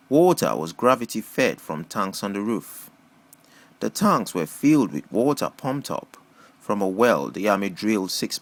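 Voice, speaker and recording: A man's voice: fairly low pitch, vocal fry, fairly bright tone. A man reading a first-person novel aloud as scripted audiobook narration. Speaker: audiobook reader.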